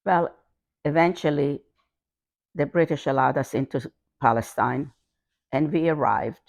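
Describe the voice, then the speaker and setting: Older woman, gravelly voice, dry close sound, halting testimony, repeats words. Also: sits low, no echo. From Hungary. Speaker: interviewee. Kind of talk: personal testimony.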